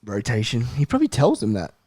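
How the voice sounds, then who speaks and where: A man's voice: mid-range voice, huge pitch swings, fast, darkish tone, no room sound, clean studio sound. A man chatting casually as a panelist on a football talk show. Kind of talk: casual chat.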